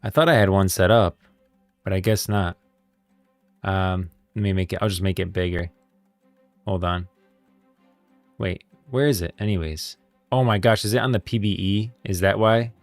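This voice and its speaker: A man's voice: low-pitched, fairly even intonation, moderate pace, pauses often, no echo, faint music behind. A man hosting a livestream, chatting casually and thinking aloud to viewers in informal, slangy language. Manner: informal slangy talk addressing viewers as guys and bros. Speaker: livestreamer and host.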